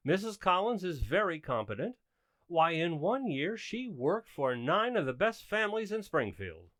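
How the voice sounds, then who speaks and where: A man plays a well-meaning suburban husband from a radio sitcom, coaxing and defensive. His delivery is earnest and hesitant, very lively in pitch, in a dry recording. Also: normal speed, dry recording. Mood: defensive, pleading. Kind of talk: old-time radio sitcom acting.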